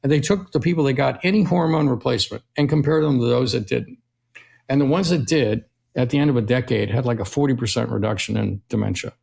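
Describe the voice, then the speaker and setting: A man's voice: medium pitch, fast. A middle-aged male physician being interviewed, explaining health topics at length and often checking in with "right?". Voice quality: gravelly, husky. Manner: steady explainer who often tags "right?". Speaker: longevity physician guest. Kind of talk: conversational explaining.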